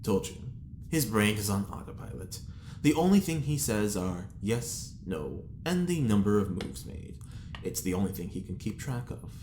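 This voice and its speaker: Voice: low voice, big pitch swings, normal speed, a little mellow. An actor playing a pompous, smug prisoner who loves chess, in an audio drama, with a wry, teasing manner. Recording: roomy, echoing, faint background noise.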